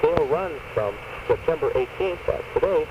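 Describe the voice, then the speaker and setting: A man's voice: fairly high pitch, expressive, moderate pace, far from the mic. A man doing local radio announcing, heard on a 1970s AM radio recording. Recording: muffled, very noisy 1970s AM radio taping.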